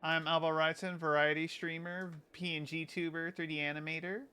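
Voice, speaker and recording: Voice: medium pitch, flat delivery, a little fast, pushed and bright, clear, resonant tone. A streamer chatting casually and playfully over a game, with silly banter into a close microphone. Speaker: live streamer. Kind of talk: casual gameplay stream chat.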